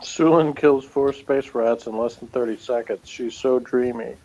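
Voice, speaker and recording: A man's voice: mid-range voice, fairly even intonation, measured pace, warm tone, dull audio. Dry wry man reading review notes. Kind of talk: casual review chat.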